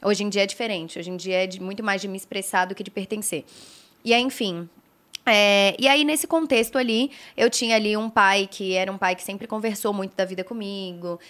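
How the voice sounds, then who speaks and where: A woman's voice: mid-range voice, steady tone, fairly quick, fairly bright tone. A young woman with a Brazilian accent and a pulled Brasília r, telling stories casually and informally as an interview guest. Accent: Brazilian, with strong Brasília r. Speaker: guest, fashion brand founder. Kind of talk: casual conversational storytelling.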